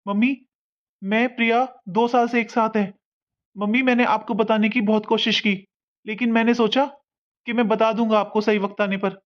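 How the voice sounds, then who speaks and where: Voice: mid-range voice, steady tone, normal speed, natural voice. An actor playing a defiant young newlywed son in a cartoon family melodrama, earnest, firm and insistent. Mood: hurt, frustrated. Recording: dull lo-fi sound.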